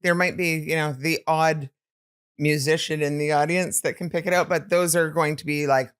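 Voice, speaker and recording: A woman podcast host with a very low voice, chatting easily and talking in quick bursts. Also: fairly even intonation, smooth. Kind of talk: casual podcast interview.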